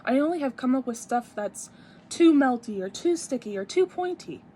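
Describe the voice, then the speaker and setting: A woman's voice: sits high, animated, moderate pace, dark tone, slight background noise. A woman voicing a worried little schoolgirl from a picture book, with emphatic delivery.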